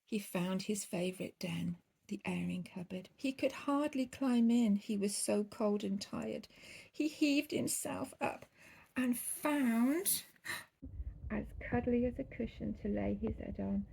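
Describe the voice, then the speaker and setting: Slow, creaky-voiced woman reading a bedtime story. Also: medium pitch, mellow, low-quality recording. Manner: theatrical, drawn-out emphasis on key words. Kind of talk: expressive children's storybook reading.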